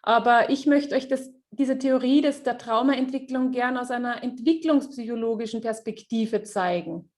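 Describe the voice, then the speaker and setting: A woman with a fairly high voice hosts an online webinar and interprets a guest's talk. She speaks quickly, with plenty of fillers and restarts. Her voice comes over a video call. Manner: quick, lively, many fillers and self-corrections.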